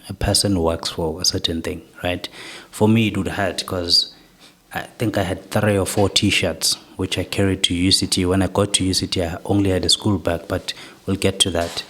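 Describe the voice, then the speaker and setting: A young man from South Africa with a soft voice, reflectively telling his life story as an interview guest, often ending points with "right?". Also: deep voice, husky.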